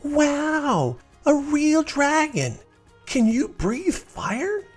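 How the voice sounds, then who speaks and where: Man putting on a squeaky excited little girl. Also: very slow, faint music behind, full character voice. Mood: awed excitement. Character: bright-eyed excited little girl with pigtails. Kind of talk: children's storybook character voice.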